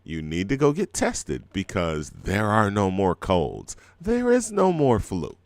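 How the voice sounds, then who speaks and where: A man's voice: fairly low pitch, huge pitch swings, takes it slowly, no room sound. A middle-aged man hosting a music show in a rambling, laid-back chat, his throat scratchy from a cold. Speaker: radio show host. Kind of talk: casual live show chat.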